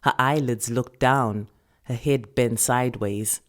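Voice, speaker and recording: A woman's voice: extremely low pitch, fairly even intonation, slow pace. A woman with a South African accent reading a literary short story as a scripted narration.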